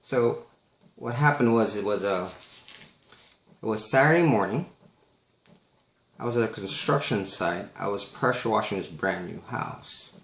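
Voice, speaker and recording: Male storyteller, casual, animated delivery, creaky vocal fry, echoey room. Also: fairly low pitch, measured pace, pauses often. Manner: animated, acts out dialogue.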